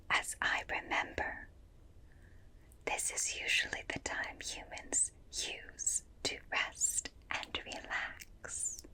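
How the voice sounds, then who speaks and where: A woman voicing a gentle, curious alien examiner in a breathy whisper, slow and soothing, in an ASMR roleplay. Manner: slow, soothing, softly clinical, repeats phrases. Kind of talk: ASMR roleplay, personal attention.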